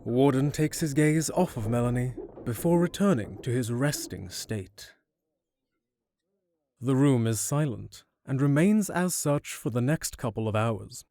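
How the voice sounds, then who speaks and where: Mid-pitched male audio drama narrator. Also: animated, mellow, a little hiss or hum. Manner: measured, descriptive storytelling.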